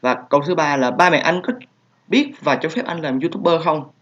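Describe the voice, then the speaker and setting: Young man, vietnamese accent, slightly high voice, hesitant fillers, dull lo-fi recording. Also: fairly quick. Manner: hesitant, many fillers and soft trailing asides. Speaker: YouTuber answering viewer questions. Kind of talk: casual Q&A vlog.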